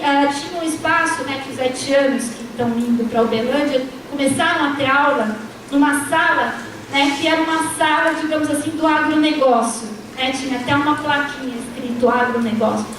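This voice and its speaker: High-voiced woman lecturing from afar. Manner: frequent tag "né", restarts mid-word. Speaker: university lecturer on a panel. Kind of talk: academic panel talk with slides. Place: university lecture room. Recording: distant, echoey lecture hall with noise.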